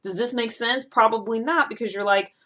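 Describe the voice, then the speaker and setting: Animated woman talking casually, thinking aloud. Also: a little high, brisk. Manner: animated rambling, acting out imagined replies. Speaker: podcast host explaining personality typology. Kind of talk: casual, informal explanatory monologue.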